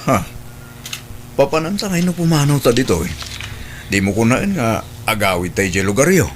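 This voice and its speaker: A man's voice: mid-range voice, sing-song, normal speed, a little hiss or hum, close to an everyday voice. A man playing an earnest, polite young man in an audio drama, pleading for his girlfriend.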